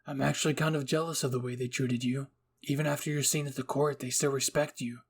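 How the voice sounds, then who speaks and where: Lonely anime teen boy, voiced by a man: soft, hesitant, tender, slightly gravelly. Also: medium pitch, flat delivery, quick pace. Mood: wistful. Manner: hushed, hesitant, soft-spoken. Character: gentle, lonely teenage boy, sleepy and tender. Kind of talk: anime fan-fiction ASMR roleplay.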